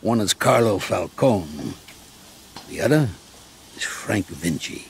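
An actor playing a shrewd, fatherly older American mafia consigliere with a gravelly, streetwise delivery in a video game. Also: deep voice, animated, slow pace, a little hiss or hum, heavily acted. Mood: patient, explaining. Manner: streetwise, coarse, patiently explaining. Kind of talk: game cutscene acting.

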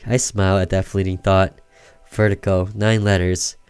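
A man's voice: low voice, flat delivery, normal speed, darkish tone, smooth, dry recording, faint music behind. A man doing casual gameplay commentary and reading on-screen story text aloud. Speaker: gamer doing a let's play, reading game text aloud.

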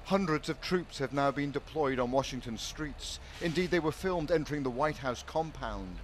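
Grave news correspondent. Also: male voice, a little high, lively intonation. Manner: measured, grave delivery. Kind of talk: news report voice-over. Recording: loud crowd and street noise behind.

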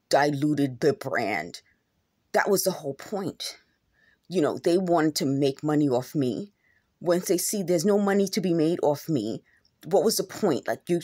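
A woman's voice: extremely low pitch, a little mellow, dry recording, studio quality. A middle-aged woman who has just woken up, with a scratchy throat, talking earnestly and repetitively into a phone camera at home. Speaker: vlogger. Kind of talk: rambling to-camera monologue. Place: indoors at home. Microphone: phone camera held close.

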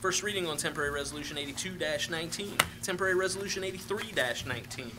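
A man's voice: high-pitched, very fast. A man chairing a council meeting as its president, speaking in formal procedural language in a large chamber. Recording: noisy council chamber room recording.

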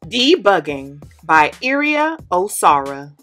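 Bright, lively young woman reading aloud. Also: on the low side, slow, soft background music. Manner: expressive, animated reading for kids. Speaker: children's book reader. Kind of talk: read-aloud storytelling. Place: indoor studio with plain orange backdrop.

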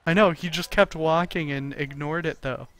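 A man's voice: a little high, steady tone, fairly bright tone. A young man casually chatting over co-op gameplay, enthusiastic and jokey. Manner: enthusiastic, jokey, quick reactions. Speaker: gaming channel host.

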